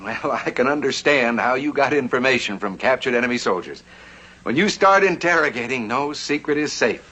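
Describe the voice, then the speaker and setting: A man's voice: medium pitch, moderate pace. An American man playing a determined, scheming banker in a vintage television western, earnest and persuasive. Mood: slyly flattering. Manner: earnest, persuasive, slyly flattering. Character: determined, scheming male banker in the Old West.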